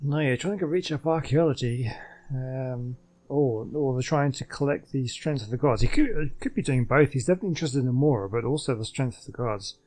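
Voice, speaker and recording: A man's voice: medium pitch, brisk, darkish tone. A man giving casual, musing gameplay commentary as the host of a gaming video. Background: video game sound.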